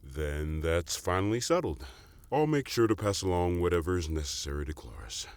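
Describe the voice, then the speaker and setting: A man's voice: low voice, sing-song, normal speed, darkish tone, clean tone. A man playing a cordial, businesslike royal dignitary in a fantasy audio drama.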